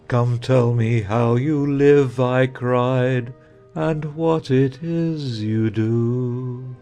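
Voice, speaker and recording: An actor playing a dreamy, gentle knight who recites a nonsense ballad theatrically over music. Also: male voice, mid-range voice, fairly even intonation, drawn-out words. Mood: insistent. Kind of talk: slow theatrical verse recital.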